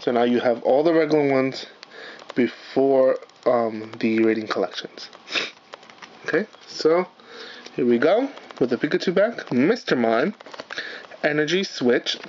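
A man with a hoarse, raspy voice, chatting casually as he opens trading card packs on his channel, with lots of fillers and apologies. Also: medium pitch. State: hoarse, partly lost voice. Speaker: channel host, card unboxer. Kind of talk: casual unboxing vlog. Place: indoors at a table, camera on the cards. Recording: dull lo-fi home recording with faint hiss.

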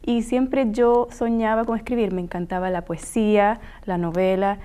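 A woman's voice: mid-range voice, flat delivery, moderate pace, dark tone, smooth. Earnest woman author. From Puerto Rico. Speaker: guest author. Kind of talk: TV studio interview. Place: small TV studio. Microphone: lapel mic.

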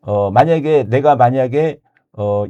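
Emphatic mellow-voiced man in his sixties. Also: medium pitch, unhurried, smooth, lo-fi sound. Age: 60s. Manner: emphatic, repeats key points, self-correcting. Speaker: insurance advisor. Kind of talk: explanatory sales talk.

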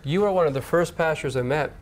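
A man's voice: on the high side, fast talker, slight background noise. A man in his thirties hosting a show, chatting energetically and interviewing a guest inside a church hall on a lapel mic. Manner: friendly, energetic, chatty with laughs. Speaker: TV host interviewer. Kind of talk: casual on-location interview.

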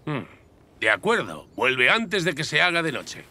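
A man voicing a gruff, taciturn old mountain grandfather with a gravelly, raspy voice in a children's cartoon. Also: a little high, sing-song, a little slow, bright, forceful tone, heavily acted. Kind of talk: animated children's series dubbing.

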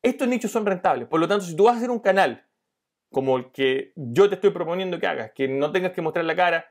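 Brief: middle-aged male online coach, slightly higher voice, explanatory tutorial delivery. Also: animated, quick pace. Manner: explanatory, with fillers and restarts. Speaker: YouTube money-making coach. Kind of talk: screen-share tutorial.

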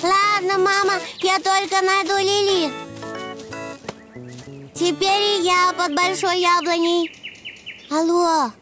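Very high-voiced little boy donkey. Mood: cheerful. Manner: slow, childlike, expressive delivery. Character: little boy donkey, playful, excitable, innocent. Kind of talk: children's cartoon dubbing. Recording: children's music playing behind.

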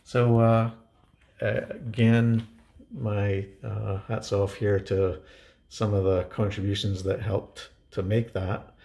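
A man narrating an informal how-to tutorial, explaining things in halting, hesitant phrases. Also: lowish voice, vocal fry, dark tone. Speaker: DIY home tech presenter.

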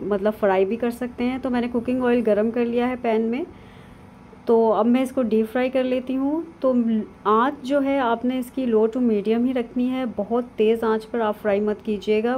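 A woman, calmly narrating a cooking lesson step by step over video of her hands at work. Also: on the high side, slight background noise, rough recording. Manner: calm, instructive, with frequent fillers. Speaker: cooking channel host. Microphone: voice-over over hands-only video.

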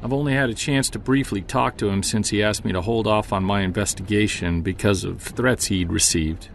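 A man's voice: on the low side, fairly even intonation, brisk, gravelly, a little hiss or hum. An actor playing a weary, stressed male investigator confiding in an audio log, hesitant and downbeat, as in an audio drama. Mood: weary, troubled. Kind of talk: audio drama, confessional narration.

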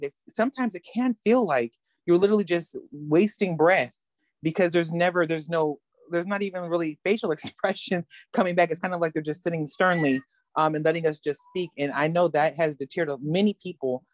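A woman's voice: lowish voice, a little fast, a little mellow, no echo. Earnest woman rambling on a video call. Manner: earnest, rambling, many restarts and fillers. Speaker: workshop participant. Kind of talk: casual discussion in a video call.

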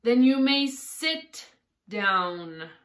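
A bossy king from a storybook, acted by a woman, giving orders sharply. The delivery is forceful and slow, with a short temper and a commanding edge. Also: a little high, big pitch swings, voice pushed up, full character voice. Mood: dismissive.

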